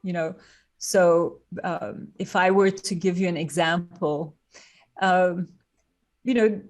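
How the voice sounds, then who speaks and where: A woman's voice: on the low side, steady tone, slow pace, darkish tone. Turkish-raised woman designer explaining her process. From Turkey. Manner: thoughtful, explains step by step with fillers. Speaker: guest, industrial designer. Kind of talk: podcast interview.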